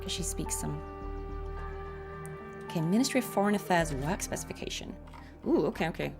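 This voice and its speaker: A woman's voice: on the low side, animated, a little fast. A woman live-streaming a video game with casual commentary, chatty and thinking aloud as she plays. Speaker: streamer, voice actress. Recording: game music behind the voice. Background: video game music.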